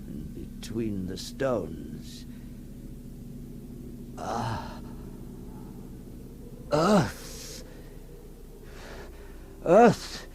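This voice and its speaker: Casting: tormented male recluse in vintage radio horror, very slow, halting, breathless and theatrical, widely swinging pitch, noisy old recording. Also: wildly swooping pitch, full character voice. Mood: bewildered wonder, then feverish elation. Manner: halting, breathless, repeats words, swings from whisper to cry. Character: tormented man emerging from long confinement, awed and feverish.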